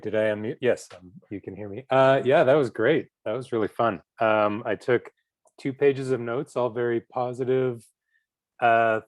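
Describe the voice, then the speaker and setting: A man giving casual feedback as a co-writer, searching for his words in a halting way. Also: medium pitch, dry recording. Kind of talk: casual chat.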